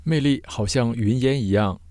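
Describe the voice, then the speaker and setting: A man teaching vocabulary word by word in measured, short separated phrases, as a scripted lesson. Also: mid-range voice, warm tone, no room sound.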